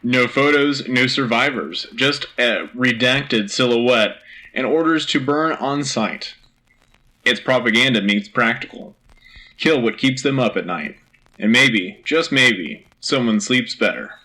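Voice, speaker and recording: A man's voice: mid-range voice, expressive, a little slow, pushed and bright, low-quality recording. A man playing an earnest war news anchor on a scripted radio drama, delivering bulletins in a clipped, solemn, dramatic way. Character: Joe Turner, earnest propaganda-era war news anchor. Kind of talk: scripted wartime news broadcast acting.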